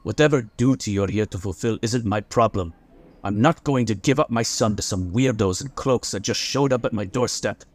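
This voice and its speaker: A man's voice: on the low side, normal speed, natural voice. A man playing a blunt, sarcastic, hostile father in a sci-fi audio drama. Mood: defiant, angry. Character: defiant, gruff father in a space commune.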